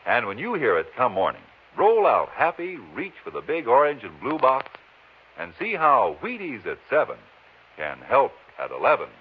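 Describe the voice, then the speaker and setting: A man giving a folksy, conversational 1950s radio advertising pitch in an animated way. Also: moderate pace, background noise. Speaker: commercial pitchman. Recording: muffled old radio broadcast, faint music.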